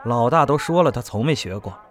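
A man's voice: medium pitch, lively intonation, a little fast, a faint crowd, lightly acted. A man playing a genial, teasing middle-aged senior of a comedy troupe in an audio drama. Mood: mild reproach. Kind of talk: Chinese audio drama acting.

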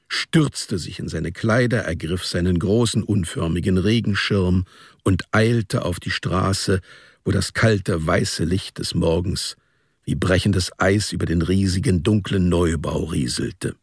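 A male storyteller narrates a radio drama in a deep, creaky voice. His delivery is measured and deliberate, like scripted literature read aloud. The recording is close and dry. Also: dry recording.

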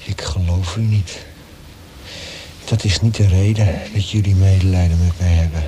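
An actor playing a wary, weary condemned male prisoner in a radio play, halting and quietly defiant. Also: sits low, little pitch movement, background noise, close to an everyday voice. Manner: halting, pleading, dwelling on words.

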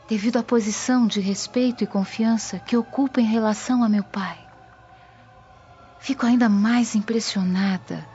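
A woman's voice: on the high side, dark tone, breathy edge. An actress playing an earnest young Roman woman who speaks admiringly to a friend, in a radio drama. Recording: muffled audio with background music.

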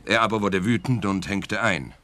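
Detective's client in a radio play: low voice, earnest and hesitant, explains things precisely. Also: male voice, normal speed, bright, forceful tone. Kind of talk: scripted radio play dialogue.

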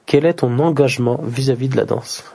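A man giving measured, scripted narration and asking questions as an interviewer. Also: mid-range voice, creaky, mellow, breathy edge. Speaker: narrator and interviewer in a dance radio piece.

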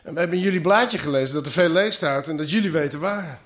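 A man's voice: a little high, fast talker, fairly bright tone, natural voice. A man playing an eager, defiant young squatter in a radio drama, informal and earnest. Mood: determined. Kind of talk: naturalistic Dutch radio drama acting.

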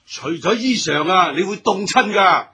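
Sharp, very husky sly Cantonese villain. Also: male voice, high-pitched, expressive, moderate pace, exaggerated voice. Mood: feigned refusal. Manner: stammering, feigned reluctance, oily. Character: sly, grasping petty official bullying a woman. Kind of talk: Cantonese radio drama acting.

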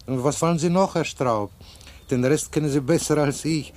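An actor playing a courteous, talkative man with a husky voice and a hesitant, hemming way of speaking, in a radio drama. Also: fairly high pitch, darkish tone, close to an everyday voice. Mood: wry, polite. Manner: halting, hemming, polite. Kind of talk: 1980s German radio drama acting.

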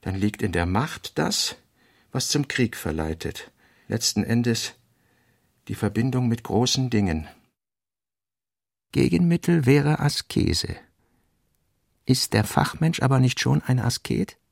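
A man narrating a radio play, reading fragmentary notes in a measured, clipped way. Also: medium pitch, sing-song, gravelly, slightly rough. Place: radio studio.

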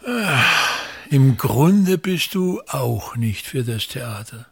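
A man's voice: medium pitch, expressive, takes it slowly, vocal fry, slightly rough, heavily acted. An actor playing an older, pompous, self-pitying touring actor and tyrannical father, declaiming and grumbling with repetitive contempt in a radio drama. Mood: commanding, disdainful. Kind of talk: theatrical radio drama monologue.

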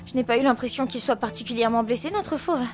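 A woman acting a bold, quick-witted adventurer sister in an audio drama, dry and commanding. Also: fairly high pitch, natural voice. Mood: wry, determined. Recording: loud music behind.

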